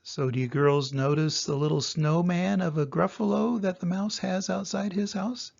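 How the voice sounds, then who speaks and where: A man's voice: high voice, moderate pace, gravelly, darkish tone, dull audio. An older man, a grandfather, reading a children's story aloud expressively.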